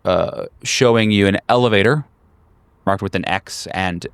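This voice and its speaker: A man with a low, smooth voice runs a horror tabletop game. He narrates scenes descriptively and deliberately, breaking his phrases with pauses, in a very dry close recording. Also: dry recording. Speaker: game master.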